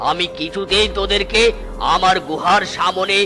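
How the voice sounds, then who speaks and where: A man's voice: high voice, steady tone, normal speed, natural voice. A man voicing a bossy, territorial lion in a children's cartoon, speaking in an imperious, threatening way. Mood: angry. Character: bossy, territorial lion bully guarding his kingdom. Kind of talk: animated children's cartoon dialogue.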